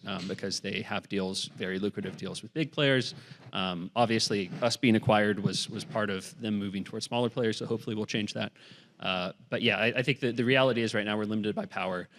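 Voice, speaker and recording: Male panelist, somewhat low, conversational, live hall sound. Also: steady tone, brisk, a bit bright. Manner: casual, thinks aloud with "like" and "you know". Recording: hall with long reverb.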